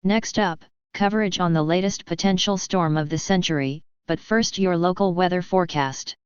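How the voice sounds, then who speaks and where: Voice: medium pitch, normal speed, clean tone, dry recording, lo-fi sound. A radio channel announcer reading a scripted station announcement in a synthetic-sounding voice.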